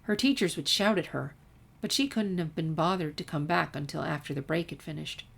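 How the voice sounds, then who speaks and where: A woman's voice: deep voice, brisk, gravelly, fairly bright tone. A woman narrating a written story as a fan fiction reader.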